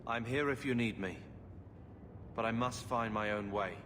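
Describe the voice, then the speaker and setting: An actor playing a resentful, sarcastic young brother in a fantasy video game drama. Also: male voice, mid-range voice, normal speed, background noise, natural voice. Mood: conciliatory. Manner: sarcastic, sullen. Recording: long reverb, loud music behind.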